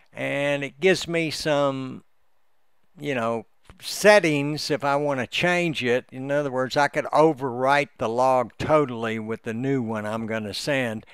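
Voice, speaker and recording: A man giving a casual, step-by-step tutorial as he walks through software on his screen, in an unhurried way. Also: mid-range voice, creaky, smooth, dry recording, studio quality. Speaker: amateur radio hobbyist giving a software tutorial. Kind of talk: casual screen-recorded walkthrough.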